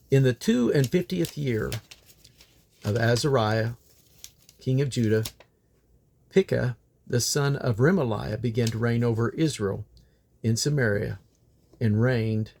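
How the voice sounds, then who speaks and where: Man, scripture reader, creaky gravelly voice, medium pitch, solemn and deliberate, short measured phrases. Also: darkish tone, slight background noise. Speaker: Bible reader. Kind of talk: scripture reading aloud.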